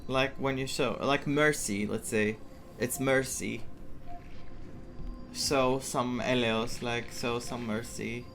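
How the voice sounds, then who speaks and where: A man's voice: medium pitch, steady tone, slow, creaky, a bit bright. A man who is a native Greek speaker doing casual playthrough commentary, reacting aloud and explaining words as he reads them. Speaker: gaming streamer. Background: game music.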